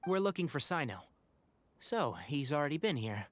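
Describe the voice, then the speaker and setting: A man's voice: a little high, sing-song, normal speed. A man playing a polite, earnest young scholar who takes charge in a video game. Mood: alert. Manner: crisp, articulate, takes charge.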